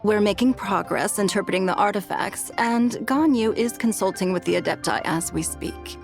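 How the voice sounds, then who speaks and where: Woman acting a poised, creaky-voiced elegant leader. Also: medium pitch, soft background music, lightly acted. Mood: composed. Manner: poised, measured, formal. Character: composed, elegant woman leader, gently teasing, unruffled. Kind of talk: video game voice acting.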